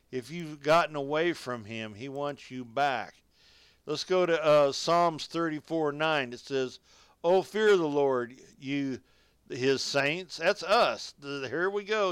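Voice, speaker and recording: A man's voice: a little high, slow pace, a bit bright, clear, resonant tone, dry recording. A man preaching a radio sermon, reading scripture and exhorting listeners directly in folksy, colloquial phrasing.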